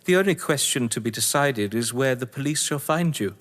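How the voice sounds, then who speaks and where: An actor playing a cold, gloating crime novelist and betrayed husband in an audio drama, speaking with eloquent, taunting menace. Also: male voice, medium pitch, animated, fairly quick, fairly bright tone, natural voice. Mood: gloating relish. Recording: reverberant hall-like room.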